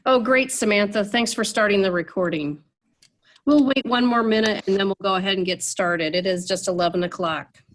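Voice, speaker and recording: A woman's voice: medium pitch, moderate pace. A woman moderating an online meeting over a video call, sounding nasal from a cold, chatty with many fillers and restarts.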